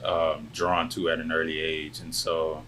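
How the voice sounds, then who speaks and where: Young man, very low voice, measured, soft-spoken, light background hum. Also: monotone. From born in Lebanon, family from South Sudan, raised in the US. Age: young adult. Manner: soft-spoken, measured, trailing off at phrase ends. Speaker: guest, college football player. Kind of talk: casual interview answers.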